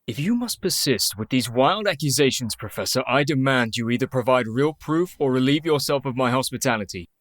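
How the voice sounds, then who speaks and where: An actor playing a grieving, skeptical sanitarium doctor in a radio play, formal, weary and stubborn. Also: male voice, medium pitch, lively intonation, brisk, hardly any pauses, dry recording, clean studio sound, close to an everyday voice. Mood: defiant, skeptical.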